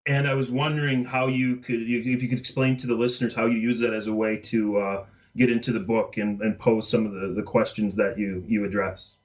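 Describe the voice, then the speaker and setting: A man hosting an interview podcast, asking polite and somewhat halting questions. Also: fairly low pitch, little pitch movement, lo-fi sound. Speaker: podcast host. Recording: voice distant from the mic.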